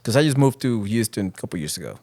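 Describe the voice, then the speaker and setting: A man hosting a podcast, asking informal, halting questions. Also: fairly low pitch, sing-song, warm tone. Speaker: podcast host. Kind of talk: casual interview questions.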